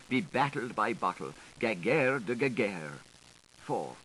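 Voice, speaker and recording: A man reading literary prose aloud as an audiobook narrator, in a playful, declamatory, theatrical way with deliberate phrasing, on a 1980s recording. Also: medium pitch, bright, forceful tone, a little hiss or hum, poor audio.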